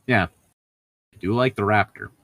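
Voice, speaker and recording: A man's voice: lowish voice, normal speed, fairly bright tone, no echo, soft background music. A man in casual conversation as a podcast co-host, swearing freely and joking crudely. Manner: crude joking, profane, laughs often. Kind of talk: casual chat.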